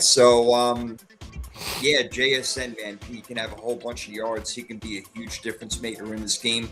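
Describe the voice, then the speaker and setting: A man's voice: mid-range voice, a bit bright. A man co-hosting a sports podcast in casual chat, upbeat and friendly, asking his partner questions. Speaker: podcast co-host.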